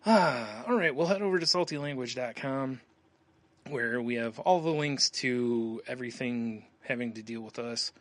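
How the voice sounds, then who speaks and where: A man's voice: mid-range voice, normal speed, no echo. An American man hosting a podcast in a casual, loose, rambling way. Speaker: podcast host. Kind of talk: casual chat, show plugs.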